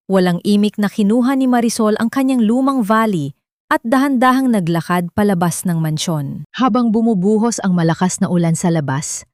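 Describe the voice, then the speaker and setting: A woman narrator reads a scripted drama at a medium pitch. Her delivery is solemn and melodramatic, like formal storytelling. The recording is dry and close. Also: a little mellow, no room sound.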